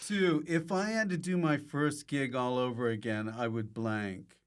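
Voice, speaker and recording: A man's voice: mid-range voice, steady tone, unhurried, faint music behind. A man hosting a casual comedy podcast, encouraging and easygoing as he chats. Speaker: podcast host. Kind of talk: casual comic chat.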